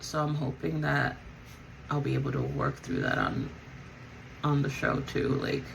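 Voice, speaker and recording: A woman's voice: sits low, huge pitch swings, measured pace, gravelly, noisy background. A woman who sings in a band, chatting casually as she gets ready, rambling with lots of fillers and self-corrections. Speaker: vlogger and band singer. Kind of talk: casual chat while getting ready.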